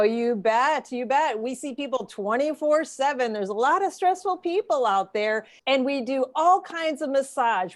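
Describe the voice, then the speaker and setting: A woman playing a coy, suggestive massage parlor owner as a guest in an improvised game show parody. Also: fairly high pitch.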